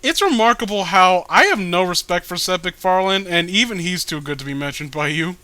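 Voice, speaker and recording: A man with a high, bright voice co-hosts a comedic read-along. He rambles through his commentary in an exasperated, casual way and swears freely. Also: expressive, a little fast, no room sound. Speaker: co-host of a comedic reading show. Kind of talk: casual comedic commentary while reading aloud.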